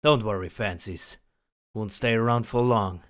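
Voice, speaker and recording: A man's voice: on the low side, a little slow, a bit bright. A man playing a determined, earnest hero duelist in a fan audio drama. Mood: fond, reassuring. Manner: earnest, resolute. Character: determined, brooding male hero duelist, kind to companions. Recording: music behind the voice.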